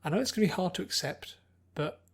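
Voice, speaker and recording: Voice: medium pitch, animated, fast talker, gravelly, slightly rough. A narrator voicing an anxious, bookish young woman who speaks carefully and earnestly in an acted audiobook. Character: anxious, bookish pony princess turned human young woman.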